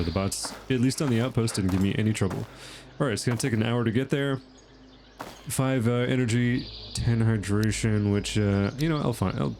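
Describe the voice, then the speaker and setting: A man with a lowish voice talks through a game in loose, rambling commentary, pausing on fillers as he thinks out loud. His nose sounds stuffed up and nasal. Steady game noise and ambience run clearly under his voice. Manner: chatty, thinking aloud with fillers. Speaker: gaming channel host. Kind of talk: casual gameplay commentary.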